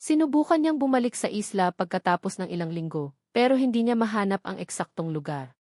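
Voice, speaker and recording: A woman's voice: medium pitch, darkish tone, dry recording, studio quality. A woman with a Filipino accent reading a scripted romance story as a dramatic narrator.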